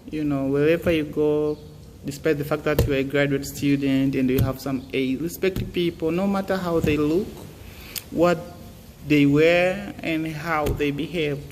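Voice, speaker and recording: Young man, earnest and halting; echoing room, faint hiss. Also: fairly high pitch. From Tanzania. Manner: earnest, halting, many fillers and restarts. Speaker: student giving advice. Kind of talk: casual motivational talk.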